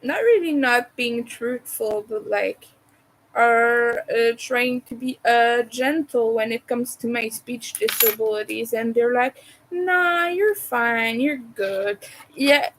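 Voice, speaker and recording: A woman's voice: a little high, slow, fairly bright tone, poor audio. A woman who is not a native English speaker, chatting casually while she crochets, hesitating and restarting words. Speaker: crochet vlogger.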